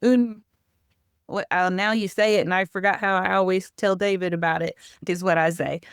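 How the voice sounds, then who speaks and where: Woman podcast host, casual and teasing, mid pitch, dry mic. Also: steady tone, normal speed, smooth, no room sound. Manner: informal, joking, self-deprecating. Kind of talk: casual interview chat.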